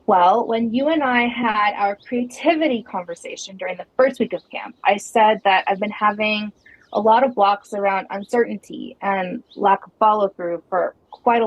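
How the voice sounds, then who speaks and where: A woman's voice: medium pitch, no echo, lo-fi sound, lightly acted. A woman playing an earnest, inquisitive camper, talking reflectively and conversationally in an audio drama. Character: earnest, inquisitive female camper with creative blocks.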